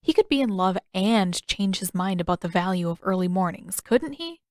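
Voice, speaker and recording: A woman reading a story aloud as narrator in an expressive, scripted style. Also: medium pitch, moderate pace, no room sound, studio quality.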